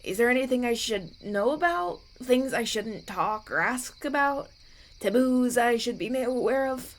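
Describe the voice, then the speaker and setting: A man's voice: extremely high pitch, lively intonation, normal speed. A man playing a polite, anxious young runaway prince in an audio roleplay, stammering and hesitant as he talks. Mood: nervous, eager. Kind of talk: ASMR audio roleplay, acted one-sided dialogue.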